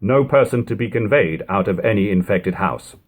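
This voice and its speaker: Husky man reading aloud, swooping intonation. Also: on the low side, expressive, moderate pace. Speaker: narrator reading a book aloud. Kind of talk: audiobook-style reading of an archaic text.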